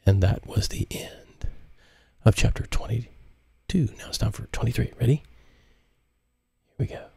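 A man reading his own novel aloud in a relaxed live audiobook stream, with chatty asides. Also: lowish voice, a little slow, gravelly, husky, no room sound.

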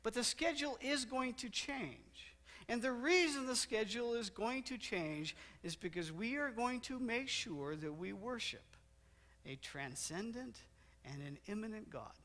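A middle-aged man preaching a sermon in a church sanctuary, walking the stage, gesturing, and slowing down to stress repeated words. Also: sits high, lively intonation, bright, forceful tone. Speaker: pastor.

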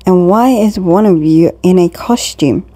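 A woman's voice: lowish voice, a little slow, dark tone, dry recording. A woman voicing a stern, suspicious adult pizza delivery rider with a scolding manner in a fan comic dub.

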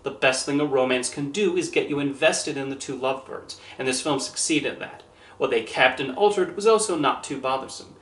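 A man in his thirties reads out a scripted review in an even, earnest manner. His voice sits at an ordinary middle pitch. The small room adds a noticeable echo around him. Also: a little hiss or hum. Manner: measured, earnest, carefully worded delivery. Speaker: movie reviewer. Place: small indoor room with posters and shelves. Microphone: camera at a few feet, standing.

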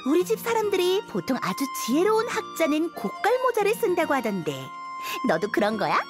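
A woman's voice: very high-pitched, sing-song, brisk. A woman voicing a cheerful young musician in an anime game, with a friendly, casual, upbeat manner. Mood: friendly, admiring. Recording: music behind the voice.

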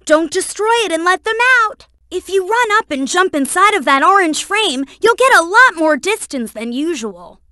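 Little boy fox game sidekick, super high and bright, brainy n eager, earnest helper. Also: big pitch swings, normal speed, hardly any pauses, no echo, exaggerated voice. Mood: earnest, helpful. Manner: earnest, instructive, explains carefully. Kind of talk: video game voice acting.

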